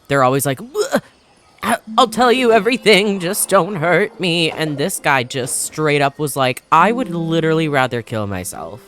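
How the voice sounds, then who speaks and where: Animated man hosting a gaming video. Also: on the high side. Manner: animated, casual, reads game text aloud. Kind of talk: let's play reading and reacting. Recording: faint music behind.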